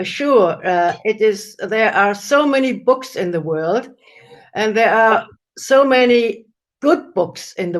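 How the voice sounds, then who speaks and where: A woman participating in a group discussion, giving her opinion in a halting, deliberate manner. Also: mid-range voice.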